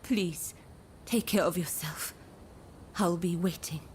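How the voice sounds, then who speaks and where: A woman playing a gentle, devoted Japanese woman of the samurai era in a game cutscene, speaking softly and haltingly with sorrow. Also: lowish voice, a little hiss or hum. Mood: gentle concern. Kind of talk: dramatic video game cutscene acting. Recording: loud music under the voice.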